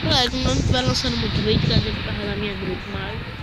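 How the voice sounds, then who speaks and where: A man's voice: high-pitched, steady tone, rapid-fire. A male gameplay youtuber with a Brazilian Portuguese accent who has a cold, rambling and apologizing to his viewers while he plays. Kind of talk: casual game commentary. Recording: loud wind noise on the mic.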